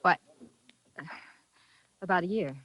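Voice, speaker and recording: An actress playing a poised, cautious secretary in a 1940s radio drama, polite and lightly teasing. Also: female voice, lowish voice, slow pace. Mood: playful. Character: poised, cautious, quietly playful female secretary. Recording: dull, noisy vintage radio recording.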